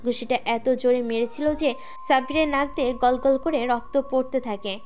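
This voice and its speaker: High-pitched woman narrating a story. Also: fairly even intonation, normal speed, darkish tone, dull audio, slight background noise. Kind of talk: scripted dramatic narration.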